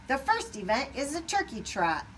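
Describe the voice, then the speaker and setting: A brisk storybook chicken, acted out by a woman, calls out like a race announcer. The voice is raised and lively, with slight noise behind. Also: high voice, recorded from a distance, voice pushed up. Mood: announcing. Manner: calling out, announcing. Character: brisk male chicken announcing sports races.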